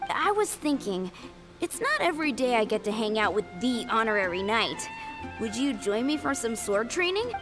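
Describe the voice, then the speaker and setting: A woman's voice: fairly high pitch, sing-song, normal speed, over music. A woman playing an eager, earnest young would-be knight in an anime-style video game. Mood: eager, impressed. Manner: enthusiastic, earnest.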